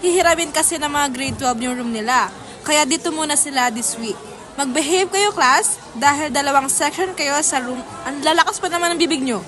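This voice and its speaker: Woman acting a brisk high-voiced schoolteacher. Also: over music, close to an everyday voice. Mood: stern, brisk. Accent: Filipino. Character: brisk, scolding female high school teacher. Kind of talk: amateur school radio drama acting. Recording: crowd chatter behind the voice.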